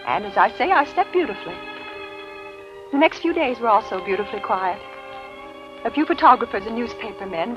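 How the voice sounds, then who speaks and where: An actress playing a genteel, calculating widow who narrates an old radio thriller with precise, theatrical diction. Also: female voice, medium pitch, natural voice. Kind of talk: 1940s-50s radio drama acting. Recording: dull vintage radio recording, music behind.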